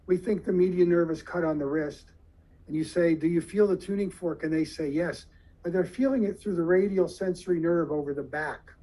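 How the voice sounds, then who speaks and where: A man with a fairly high, husky voice teaches a room of surgeons. He explains slowly and deliberately, often stopping to put questions to his listeners. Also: warm tone, low-quality recording. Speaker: surgeon lecturer.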